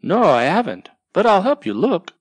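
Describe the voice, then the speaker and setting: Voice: medium pitch, big pitch swings, normal speed, breathy edge, no echo, pitched above the performer's own voice. Narrator doing an eager little storybook critter, raised voice, keen to help, emphatic. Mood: eager, helpful. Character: eager, helpful little animal friends of a tortoise.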